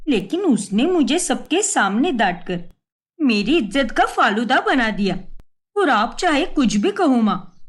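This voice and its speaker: A woman's voice: sits high, heavily acted. A woman voicing a proud, spoiled young married woman who complains dramatically, for a story.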